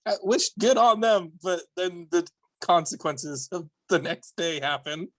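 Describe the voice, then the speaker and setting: A man in his late twenties chats as a podcast guest, joking dryly at his own expense. His voice sits a little high for a man. The recording is dry and close. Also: lively intonation, a little slow, fairly bright tone, dry recording. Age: 20s. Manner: dry, self-mocking humor. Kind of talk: casual chat.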